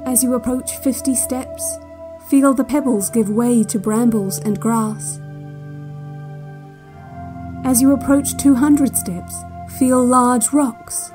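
A woman playing a calm, controlling relaxation tape instructor in an audio drama, measured and soothing with a quietly ominous air, giving guided instructions. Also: on the high side. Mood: soothing, hypnotic. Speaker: narrator of relaxation cassettes. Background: new-age music.

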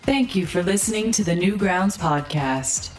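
A woman reading the scripted closing announcement of a podcast in an even manner. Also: fairly low pitch, moderate pace. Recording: distant voice over music.

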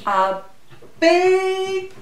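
Middle aged lady doing storytime for little kids, really slow and sing-song, echoey room, bit far from the mic. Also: extremely high pitch. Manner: expressive, sing-song, drawn-out words addressed to small children. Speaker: children's story time host. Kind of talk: animated story time for young children. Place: glass-walled conservatory at home. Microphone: camera mic a little way off. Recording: roomy echo, distant mic, faint noise.

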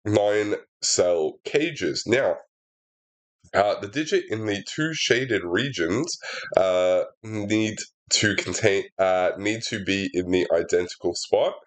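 This is a young man hosting a relaxed puzzle walkthrough while his sinuses are stuffed up. His voice sits slightly low and comes through a laptop's built-in mic at his desk. He talks through every move casually, with plenty of "uh" and "um". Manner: chatty and relaxed, many fillers, explains steps aloud. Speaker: puzzle setter and walkthrough host. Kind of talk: casual sudoku solving walkthrough. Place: indoor room at a desk.

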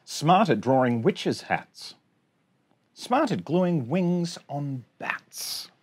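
Male children's storytime reader, higher-pitched, slightly husky, unhurried sing-song rhymes with chatty asides. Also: sing-song. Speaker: storytime host. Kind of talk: reading a picture book aloud to children. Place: small indoor space among bookshelves.

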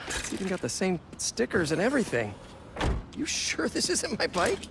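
A man's voice: high voice, huge pitch swings, moderate pace, vocal fry, background noise, full character voice. A man playing an earnest, good-natured young American in a video game, slightly awkward, talking casually like an old friend. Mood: curious, amused. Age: young adult. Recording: music behind dialogue.

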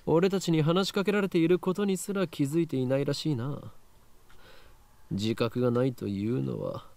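An actor playing a grandiose, scornful young self-styled mad scientist in a visual novel, declaiming pompously. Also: male voice, fairly high pitch, fast talker, creaky, darkish tone, no room sound. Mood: scornful, lofty. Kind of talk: Japanese visual novel acting.

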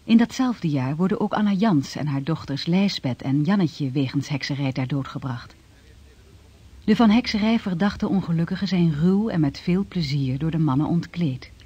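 A woman playing a grave chronicler who reads scripted history in a radio drama with a husky voice. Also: sits low, animated, moderate pace, warm tone, muffled recording. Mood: grave, detached.

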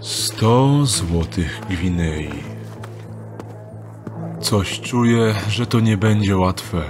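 A calculating rogue, voiced by a man, in an audio drama, speaking in a low, unhurried tone. He weighs every offer with dry skepticism. Music runs clearly beneath him. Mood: wary. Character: wary young male rogue Dieter, dry and calculating.

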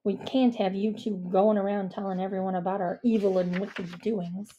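A woman doing a gruff, bossy badger boss. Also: mid-range voice, level tone, normal speed, muffled recording, a little hiss or hum, close to an everyday voice. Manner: gruff, commanding and loud. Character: bossy scheming male badger ringleader.

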